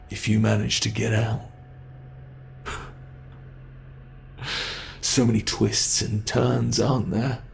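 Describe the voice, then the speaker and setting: A man playing a gravelly, sadistic bed monster. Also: medium pitch, a little hiss or hum. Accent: British. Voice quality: gravelly, slow, drawn-out delivery. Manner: menacing, mocking, savoring. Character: cruel male monster under the bed, toying with prey. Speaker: ASMR roleplay performer. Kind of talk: ASMR horror roleplay.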